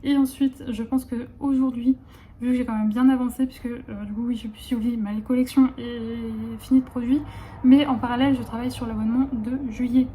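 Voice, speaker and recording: A woman's voice: a little high, fairly even intonation, a little fast, mellow, a little hiss or hum. A woman in her late twenties chatting casually in a vlog, rambling with many fillers and restarts, recorded on a phone. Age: late 20s. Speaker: vlogger.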